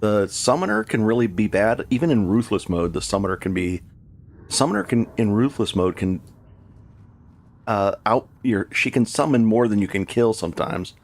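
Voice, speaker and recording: A man's voice: on the low side, normal speed. A man chatting casually with viewers while he plays a game live, rambling and correcting himself as he goes. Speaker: game streamer. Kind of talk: casual live gameplay commentary.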